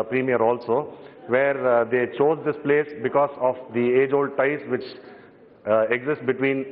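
A middle-aged man with a Tamil Indian English accent, speaking in parliament from notes, with frequent pauses and fillers. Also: mid-range voice. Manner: hesitant, many fillers and restarts, glancing at notes. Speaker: member of parliament. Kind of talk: parliamentary speech. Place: large parliament chamber. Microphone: desk microphone from standing. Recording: echoing hall, phone-like broadcast audio.

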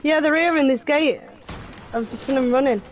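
High-voiced woman spotting enemies. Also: normal speed, background noise. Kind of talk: casual game chat.

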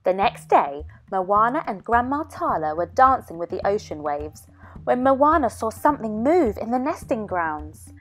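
A woman narrating a children's picture book in an expressive, clearly paced read-aloud manner for young listeners. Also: medium pitch, unhurried, faint music behind. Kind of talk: expressive scripted storybook narration.

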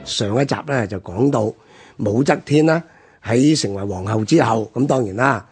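Husky Hong Kong Cantonese man hosting radio. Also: medium pitch, moderate pace, darkish tone, faint music behind. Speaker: radio programme host. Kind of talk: conversational history discussion.

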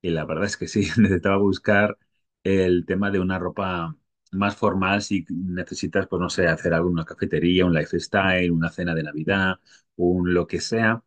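A middle-aged man from Spain with a Spanish accent, talking casually to his webcam and thinking aloud as he goes. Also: deep voice. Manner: rambling, thinking aloud, tag questions. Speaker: stock photographer vlogger. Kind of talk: casual talk to camera.

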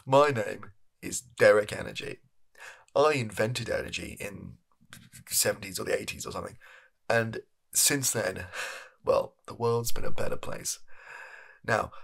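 A young British man playing a shameless, evasive energy company boss in an improvised comedy monologue, speaking softly with a halting, deadpan manner. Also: lowish voice, creaky, slightly rough, full character voice. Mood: falsely reassuring. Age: young adult. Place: small home bedroom studio.

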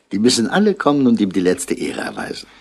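An actor playing a middle-aged, dry-witted police commissioner in a radio crime drama, asking terse, probing questions in a husky voice. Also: male voice, mid-range voice, heavily acted. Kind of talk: 1960s German radio crime drama acting.

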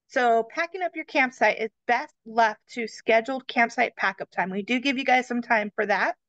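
A woman with a fairly high voice talks in a friendly way through camp procedures on a video call. Her sound is dry and a little muffled, as from a basic webcam mic. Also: a bit bright, dry recording. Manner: friendly, addresses listeners as you guys. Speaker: camp staff presenter. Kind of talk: slideshow briefing.

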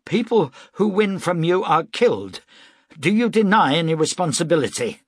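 A man voicing an agitated townsman who confronts a gambler in a high, upset voice. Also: sing-song, slow, slightly rough, no echo, studio quality, full character voice. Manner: shrill, upset.

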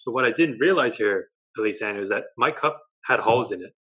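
Young Australian man, bright forceful voice, animated storyteller, earphone mic on a video call from his living room. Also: on the low side, fairly quick, poor audio. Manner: animated storytelling, gestures a lot, tags lines with "right?". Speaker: guest, dating coach.

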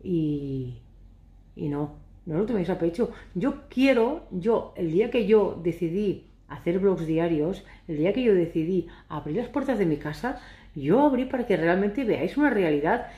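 A woman's voice: on the low side, expressive, moderate pace, mellow, echoey room. Chatty woman vlogging from her sofa. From Catalonia. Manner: chatty, ironic, rambling. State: hoarse from shouting. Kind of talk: casual chat to camera. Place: living room sofa, indoors. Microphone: phone camera at arm's length.

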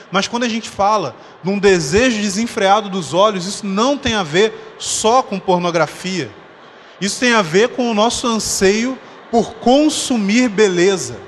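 A man in his thirties preaching a conference sermon on a dark church stage with a handheld mic, conversational, explaining slowly with humorous asides. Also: high voice. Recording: long hall reverb, faint noise.

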